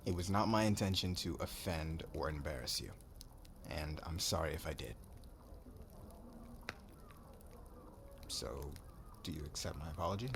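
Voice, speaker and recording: A man's voice: extremely low pitch, a little fast, background noise. A man playing a cocky, flirtatious warrior commander in an ASMR roleplay, with a brash, teasing way of talking. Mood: subdued, sincere. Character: cocky, flirtatious warrior commander, crude suitor turning contrite.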